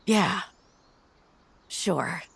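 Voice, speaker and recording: Woman as an easygoing, deep-voiced frank woman. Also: drawn-out words, creaky, husky, no echo, exaggerated voice. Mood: consoling. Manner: casual, slangy, frank. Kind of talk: motion comic voice acting.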